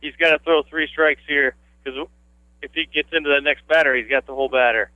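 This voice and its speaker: A man's voice: fairly high pitch, steady tone, a little fast, no room sound. A man doing informal, conversational color commentary on a baseball radio broadcast, with folksy phrasing and slightly dull audio.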